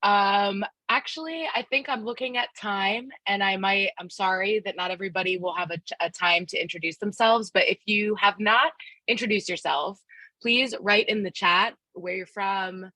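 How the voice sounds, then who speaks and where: A woman's voice: mid-range voice, normal speed, bright, forceful tone, dry recording, poor audio. A woman from Philadelphia presenting a live online workshop. She speaks in an upbeat, encouraging way, asks the audience to join in, and uses many fillers. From Philadelphia, United States. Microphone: video call microphone.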